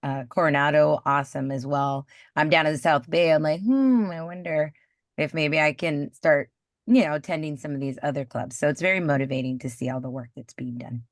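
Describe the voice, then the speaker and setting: Chatty low-voiced woman teacher. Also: brisk, no room sound, studio quality. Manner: chatty, enthusiastic. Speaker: teacher and club vice president. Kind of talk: speaking at an online meeting. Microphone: video call.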